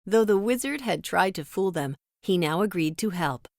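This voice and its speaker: A woman's voice: fairly low pitch, animated, moderate pace, gravelly, no echo, clean studio sound. A woman narrating a children's storybook as a scripted read-aloud.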